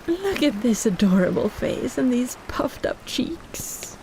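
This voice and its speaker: A woman's voice: a little high, very animated, takes it slowly, noisy background, exaggerated voice. A woman playing a possessive, teasing kraken in a roleplay audio drama, cooing and bossing like a doting big sister.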